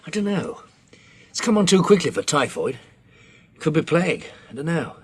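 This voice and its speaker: A man's voice: a little high, big pitch swings, normal speed, breathy edge. An actor playing a weary, serious English survivor in a radio drama, terse and practical as he leads others. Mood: grim.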